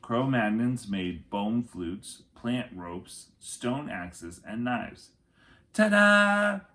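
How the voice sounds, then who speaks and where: A man reading a children's chapter book aloud as an expressive storyteller, with deliberate, dramatic phrasing. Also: fairly low pitch, a little hiss or hum.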